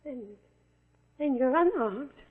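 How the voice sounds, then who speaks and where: A woman's voice: sits high, lively intonation, slow. An actress playing a frightened, unstable stranded woman, pleading and menacing, in 1940s suspense television style. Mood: fearful, suspicious. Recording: tinny, band-limited 1949 television soundtrack.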